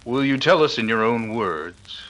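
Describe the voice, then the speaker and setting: A man's voice: mid-range voice, lively intonation, a bit bright. A man playing a suave detective hosting a broadcast, formal and courteous, in 1930s radio drama style. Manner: formal, courteous, measured phrasing. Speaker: radio interviewer.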